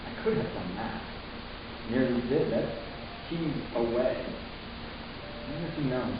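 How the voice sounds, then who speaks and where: A man playing an anguished, defiant young human narrator in a halting, intense dramatic story reading, recorded far off in an echoey room. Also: mid-range voice, expressive, highs cut off, noisy background, lightly acted. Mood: subdued.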